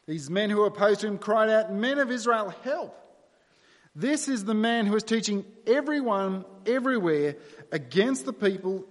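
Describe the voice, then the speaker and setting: A man with an Australian accent preaching a sermon to his congregation in a conversational, self-deprecating way. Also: high-pitched. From New South Wales, Australia. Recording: long reverberant hall sound.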